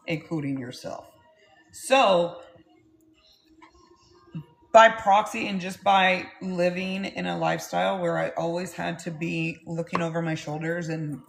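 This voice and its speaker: Woman, forties, gravelly voice, blunt, rambling, candid, faint noise behind. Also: on the low side. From Texas, US. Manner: blunt, swears freely, rambling. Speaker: vlogger. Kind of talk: candid storytelling to camera.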